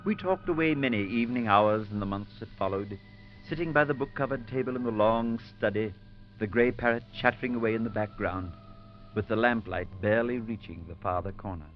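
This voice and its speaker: An actor playing an earnest, kindly male priest who narrates a 1940s radio drama in a measured storytelling manner. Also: on the low side, soft background music, close to an everyday voice. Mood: narrating. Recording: dull 1940s radio broadcast.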